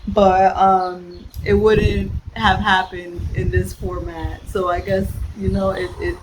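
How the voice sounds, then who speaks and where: A woman's voice: medium pitch, flat delivery, slow pace. A blunt, sassy woman ranting in a casual reading vlog, repeating phrases for emphasis. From the Bronx, New York. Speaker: book vlogger. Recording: noisy home vlog recording.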